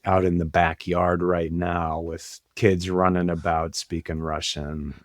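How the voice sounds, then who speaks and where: A man's voice: sits low, flat delivery, unhurried, no echo, clean studio sound. A middle-aged man hosting a podcast interview over a video call, reflective and earnest. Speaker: podcast host. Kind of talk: interview conversation. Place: room with bookshelves.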